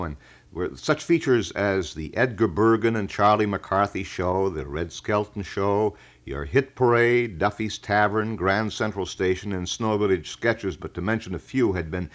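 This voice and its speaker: Very deep-voiced formal American radio man. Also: fairly bright tone. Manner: formal, wordy, old-fashioned announcer phrasing. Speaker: radio talk show host. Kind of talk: live local radio broadcast, formal announcing and reading letters. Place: radio studio.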